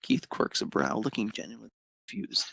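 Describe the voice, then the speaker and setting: A man narrates romantic fan fiction with expression. His mid-pitched voice is strongly creaky and husky. The sound is dry, with no room around it. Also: huge pitch swings, brisk, fairly bright tone, no echo.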